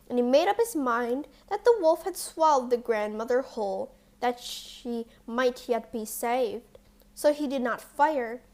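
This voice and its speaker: A woman with a high-pitched voice tells a children's fairy tale. She reads very slowly and deliberately, in the style of a scripted storybook narration. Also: a little mellow.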